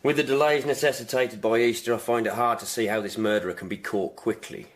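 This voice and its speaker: An actor playing a stern, secretive royal coroner with an English accent, speaking with formal authority in a radio drama. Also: male voice, mid-range voice, steady tone, fast, lightly acted. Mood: grave, official.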